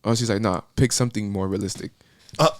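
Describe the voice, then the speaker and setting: A man's voice: on the low side, fairly even intonation, fast talker, no room sound. A young man from Miami, a podcast guest talking about his music in a loose, rambling way with lots of fillers. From Miami, Florida. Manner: rambling, many fillers and restarts. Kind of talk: casual interview chat.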